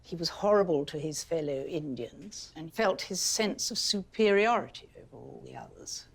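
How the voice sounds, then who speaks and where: A woman's voice: sits low, animated, moderate pace, vocal fry, husky. A woman, conversationally explaining history as an interviewed expert.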